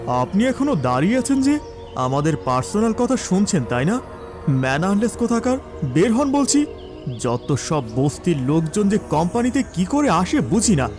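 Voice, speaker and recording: A man playing an arrogant, sleazy, bullying boss in an animated thriller, talking in a condescending, oily way. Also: sits high, moderate pace, music in the background. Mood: irritated, bullying. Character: sleazy, arrogant, bullying male boss taking a job interview. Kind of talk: Bengali animated thriller acting.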